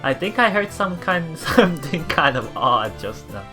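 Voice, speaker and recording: A man hosting a let's play, reading game text aloud and adding casual, halting commentary with repeated words and exclamations. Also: fairly high pitch, sing-song. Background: game music.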